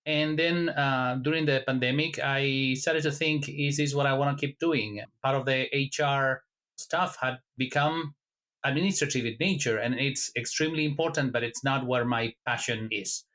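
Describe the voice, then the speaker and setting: A man from Argentina, a guest in an interview, answering in a measured, thoughtful way with some halting restarts. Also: medium pitch, a bit bright. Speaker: guest, HR technology consultant.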